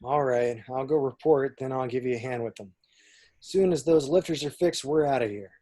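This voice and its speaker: A man reading the part of a cocky, gruff smuggler captain in an amateur table read. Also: medium pitch, moderate pace, warm tone, lightly acted. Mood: grumbling, brisk.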